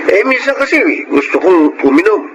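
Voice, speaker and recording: A man playing a rough-edged, blunt young man with a Filipino accent in a radio drama, talking in colloquial style. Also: high-pitched, very animated, moderate pace. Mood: cheeky. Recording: noisy radio drama recording.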